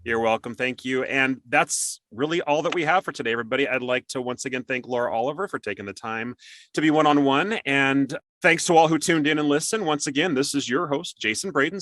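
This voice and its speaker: A man with a bright, forward voice hosts a casual interview. He sounds encouraging and appreciative toward his guest, and the sound is close and very dry. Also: medium pitch, fast, dry recording. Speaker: interview show host.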